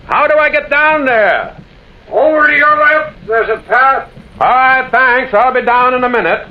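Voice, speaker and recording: Brooding radio-drama loner, dull old recording. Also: male voice, very high-pitched, unhurried. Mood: calling out. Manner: brooding, theatrical storytelling. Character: lonely, haunted male prospector narrating a ghost tale. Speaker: narrator and lead character. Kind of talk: old-time American radio drama acting.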